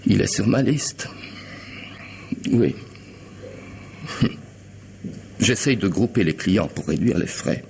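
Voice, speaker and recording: A courteous, half-mad contract killer with a very low, creaky voice. He is mocking and insinuating, taking his time in an old radio mystery. There is faint noise on the recording. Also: expressive, a little mellow. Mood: teasing menace. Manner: mocking, unhurried, falsely polite. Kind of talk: French radio mystery drama acting.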